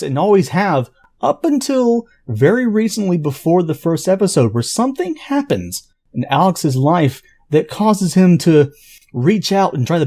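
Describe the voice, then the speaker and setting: A man who writes an audio drama, explaining it in an earnest, informal pitch. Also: darkish tone.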